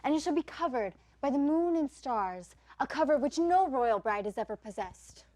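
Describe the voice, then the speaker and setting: Woman acting high-voiced, exuberant stage clown. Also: expressive, moderate pace, darkish tone, no room sound. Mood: mock-tender. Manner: declamatory, mock-grand. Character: exuberant, teasing male clown of a traveling troupe.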